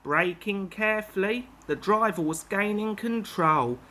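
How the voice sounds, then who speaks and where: A woman reading a children's train story aloud, slow and expressive. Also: medium pitch.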